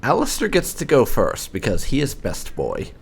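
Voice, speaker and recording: A man hosting a let's play, giving commentary in halting, drawn-out phrases. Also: medium pitch, huge pitch swings, gravelly, a little hiss or hum. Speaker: gaming channel host.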